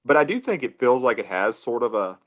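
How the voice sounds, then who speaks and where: A man co-hosting a film podcast, chatting casually and quick to jump in with questions. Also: medium pitch, big pitch swings, highs cut off. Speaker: podcast co-host.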